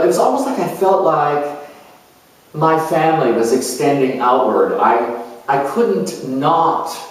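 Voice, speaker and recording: An earnest, nurturing spiritual counselor played by a man delivers a reflective dramatic monologue in a very echoey space, some way from the microphone. The phrasing is slow and halting, with deliberate pauses between thoughts. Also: mid-range voice, natural voice. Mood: earnest, troubled. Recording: very echoey room, distant microphone.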